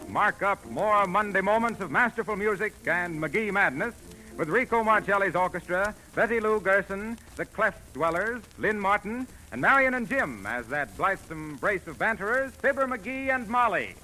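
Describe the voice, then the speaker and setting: A man's voice: high voice, expressive, moderate pace, bright, forceful tone, faint music behind, poor audio. A man with an American accent announcing a 1940s radio show with polished, theatrical sales patter. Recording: old 1940s radio broadcast, faint noise, audience.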